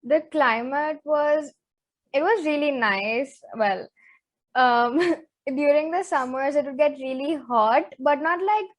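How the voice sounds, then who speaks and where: A woman's voice: sits high, slow. Chatty teenage girl. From Zambia. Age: teens. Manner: chatty, animated, says "like" a lot. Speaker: co-host, interviewee. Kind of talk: casual chat podcast.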